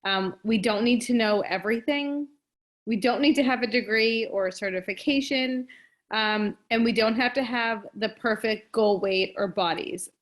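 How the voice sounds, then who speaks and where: A woman's voice: on the high side, moderate pace. A woman fitness coach reading through presentation slides and adding her own comments, with frequent ums.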